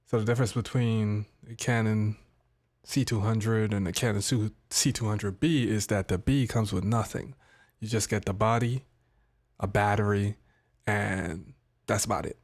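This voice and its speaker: A man hosting a casual live stream about his streaming gear, sounding a little nasal from a cold, chatty and relaxed, pausing now and then to handle equipment. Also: on the low side. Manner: laid-back, chatty, pauses to fiddle with gear. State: has a cold, a bit nasal. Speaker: tech streamer. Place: home streaming setup, indoors. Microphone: studio mic on desk.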